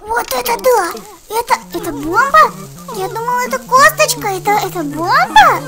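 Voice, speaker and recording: A woman's voice: very high voice, very animated, normal speed, a loud crowd. A woman hosting a children's let's play with childlike exclamations, voicing the game's characters as she comments. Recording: music behind the voice. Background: music.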